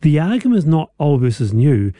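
A New man hosts a radio show in an upbeat, teasing way and reads out listener messages. His voice is a little high and mellow, and it sounds dry and close. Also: big pitch swings, fairly quick, no echo. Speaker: radio host. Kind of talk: breezy radio hosting.

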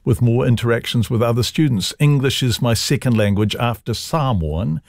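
A New Zealand man co-hosting morning radio, reading feedback and introducing news in a relaxed, chatty way. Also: mid-range voice, moderate pace, no room sound, clean studio sound. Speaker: radio host.

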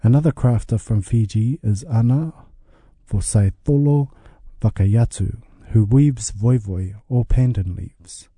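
A man's voice: medium pitch, measured pace, warm tone, slightly rough, no echo. A man reading a scripted radio news report as the reporter.

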